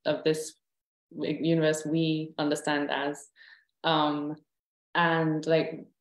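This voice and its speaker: A woman with a London English accent asks her question in a low voice, halting and padding it with "like" and "um". Her voice comes through with a roomy echo, as if from a bare room on a call. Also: monotone, muffled recording. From Southeast London, England. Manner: hesitant, many "like" and "um" fillers. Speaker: study-group participant asking a question. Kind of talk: casual question.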